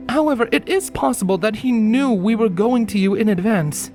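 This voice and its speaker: A woman playing a wary ex-soldier pony, speaking in a formal, commanding, measured way in a dramatic story reading. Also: a little high, darkish tone, soft background music, lightly acted. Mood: serious. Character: stern, wary female pony, ex-soldier fugitive. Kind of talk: dramatic audiobook acting.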